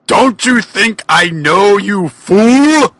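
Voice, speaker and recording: A man's voice: high voice, lively intonation, extremely slow, a bit bright, no echo, voice pushed up, heavily acted. A man voicing a huge, bitter, devilish villain in a comic fan fiction reading, bellowing theatrically and stretching out his words. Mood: scornful bellow.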